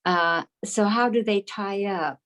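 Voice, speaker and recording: A woman's voice: mid-range voice, slow, fairly bright tone. A woman in the audience asking the lecturer a question, hesitant and full of fillers. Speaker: class member asking a question. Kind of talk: casual question.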